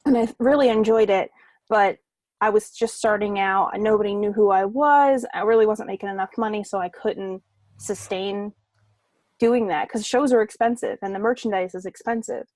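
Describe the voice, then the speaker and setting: A woman's voice: medium pitch, moderate pace, darkish tone, no echo. A woman guest on a podcast, an artist, chatting informally and with some self-deprecation about herself. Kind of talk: casual interview chat.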